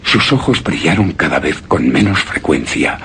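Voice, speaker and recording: An actor playing a grieving, obsessed widower in a suspense and horror radio drama, with a solemn, halting, brooding delivery that drops into whispers. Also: male voice, extremely low pitch, lively intonation, a bit bright, breathy edge, exaggerated voice. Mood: mournful. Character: grieving, obsessed widower recounting his beloved's death. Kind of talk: Spanish-language suspense and horror radio drama, theatrical monologue.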